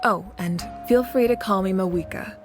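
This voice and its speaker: A woman's voice: mid-range voice, lightly acted. A woman as a composed, resolute warrior leader. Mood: reassuring. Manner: measured, earnest, authoritative. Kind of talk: dramatic video game voice acting. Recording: game music behind the voice.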